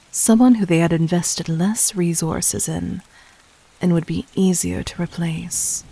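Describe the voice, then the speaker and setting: A woman playing a regal, cunning, gently dominant demon queen in a roleplay audio drama, speaking formally and intimately with measured, breathy poise. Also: fairly low pitch, full character voice. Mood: probing, tender. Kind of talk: scripted roleplay audio drama addressed to the listener.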